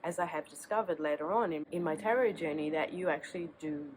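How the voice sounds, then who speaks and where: A woman's voice: deep voice, quick pace, darkish tone, a little hiss or hum, poor audio. A woman with a sore throat and a cold, chatting casually to camera outdoors as the host of her tarot channel, rambling and restarting sentences. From Australia.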